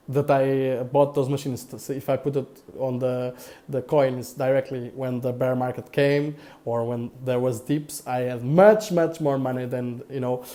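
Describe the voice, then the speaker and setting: A man from Portugal with a Portuguese accent, talking casually on a live broadcast, halting with many fillers and repeated words. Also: on the high side, dark tone. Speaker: crypto investor vlogger.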